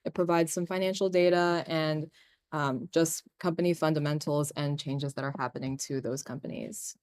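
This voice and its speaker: A young woman teaching assistant talks a class through tips in a lecture room. Her voice is low for a woman and smooth and clear. She sounds chatty, with plenty of "um" and "like.". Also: steady tone, normal speed, a little mellow, no room sound, clean studio sound. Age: young adult. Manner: chatty, with fillers like "um" and "like". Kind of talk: classroom presentation.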